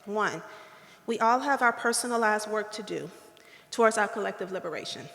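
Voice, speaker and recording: A woman's voice: mid-range voice, expressive, moderate pace, fairly bright tone. A woman with a light, girlish voice gives a playful, preacherly awards-dinner speech at a podium microphone, drawing call and response from the room. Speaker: keynote speaker at awards dinner. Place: hotel ballroom. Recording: echoey ballroom, reverb.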